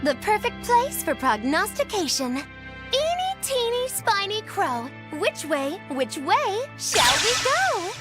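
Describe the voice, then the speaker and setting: A high-voiced young witch in a video game. She is flippant and theatrical, swooping through teasing, grandiose lines like a stage fortune teller. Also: huge pitch swings, slow, over music, full character voice. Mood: theatrical, singsong. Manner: teasing, grandiose old-fashioned wording.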